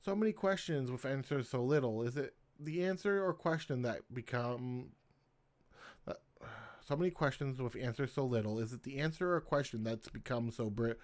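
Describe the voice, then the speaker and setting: A man's voice: mid-range voice, expressive, moderate pace, darkish tone. Guy reading his own poems on his channel, middle voice, laid back, redoes lines when he flubs. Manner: informal, restarts lines when he stumbles. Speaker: poetry channel host.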